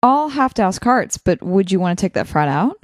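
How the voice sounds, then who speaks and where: A woman playing a reserved, curious young college student in an audio drama, talking in a tentative, low-key way. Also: sing-song, normal speed, no room sound, studio quality, natural voice. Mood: tentatively scheming. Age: young adult. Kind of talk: naturalistic audio drama dialogue.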